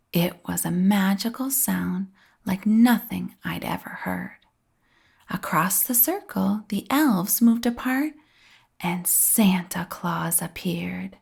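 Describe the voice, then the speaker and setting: Woman, very slow delivery, creaky voice, expressive children's read-aloud, lingering on words. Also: medium pitch. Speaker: storybook reader.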